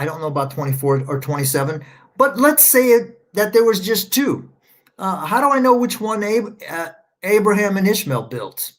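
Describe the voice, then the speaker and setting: A man rambling through casual livestream commentary, sarcastic and scornful, stopping often to search his screen. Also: high voice. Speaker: online commentator.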